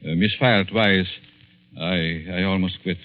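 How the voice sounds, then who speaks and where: Scheming professor, exclamatory radio melodrama, dull echoing vintage recording. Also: male voice, deep voice, steady tone, a little slow. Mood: reluctant reading. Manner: theatrical, exclamatory. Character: scheming male professor, feigning shock, cornered and desperate. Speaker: radio actor. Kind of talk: 1940s-50s radio drama acting. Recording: dull, echoey old radio recording with music.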